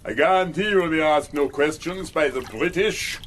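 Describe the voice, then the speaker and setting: An actor playing a cruel, domineering middle-aged German officer in a radio drama, mocking and commanding. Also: male voice, a little high, fairly bright tone, a little hiss or hum, close to an everyday voice. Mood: brisk. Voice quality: mocking, commanding, slow and deliberate. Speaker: villain.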